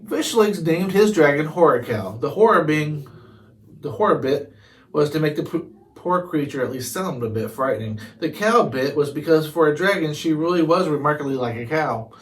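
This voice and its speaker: A man's voice: fairly high pitch, animated, normal speed. Man reading a children's book aloud. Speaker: storybook reader. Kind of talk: read-aloud narration of a children's chapter book.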